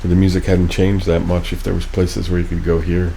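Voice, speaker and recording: A man's voice: sits low, completely flat delivery, fairly quick, creaky, dark tone. An American man hosting a casual chat, musing aloud and asking questions. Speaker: podcast host. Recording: slightly noisy room recording.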